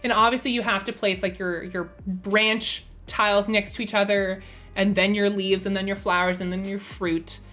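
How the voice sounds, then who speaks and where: A woman's voice: medium pitch, flat delivery, moderate pace, fairly bright tone. Chatty woman streamer, slightly strained voice. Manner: chatty, enthusiastic, thinks aloud with fillers. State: slightly strained, losing her voice. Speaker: streamer and host. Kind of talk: casual live-stream chat.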